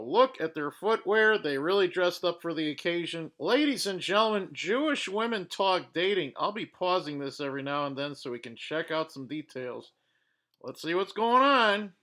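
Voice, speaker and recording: A man hosting a reaction video, pausing clips to give blunt, judgmental commentary with frequent fillers. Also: on the high side, fairly bright tone. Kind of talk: commenting over paused clips.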